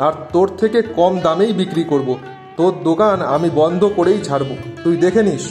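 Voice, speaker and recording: A man plays a greedy village shopkeeper in a cartoon, crooked and quick to anger. His fairly high voice is scornful and combative, with music playing faintly underneath. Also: a little mellow, lightly acted. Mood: angry, defiant. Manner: belligerent, scornful. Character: dishonest, hot-tempered, greedy male village shopkeeper. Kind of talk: cartoon voice acting.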